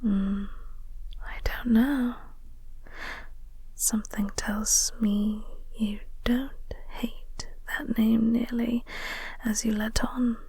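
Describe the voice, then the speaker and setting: A woman playing a flirty, teasing vampire office co-worker in an intimate audio roleplay, speaking softly and breathily with a coy, unhurried manner. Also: a little high, level tone, no room sound, heavily acted, poor audio. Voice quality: soft, breathy, whispery. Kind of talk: intimate audio roleplay, whispered ASMR-like acting.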